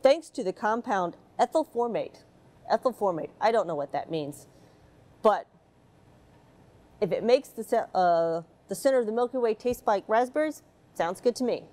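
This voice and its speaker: Lively middle-aged woman reading a storybook. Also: medium pitch, moderate pace. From United States. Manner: lively, chatty asides between pages. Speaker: astronaut reading a picture book. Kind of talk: storybook read-aloud for children. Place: space station module, indoors.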